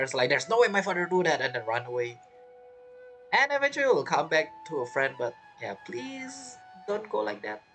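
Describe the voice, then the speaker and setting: A man's voice: fairly high pitch, fairly bright tone. Guy streaming a game, chatty commentary, non-native english phrasing, faint game music under him. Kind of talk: casual gaming commentary.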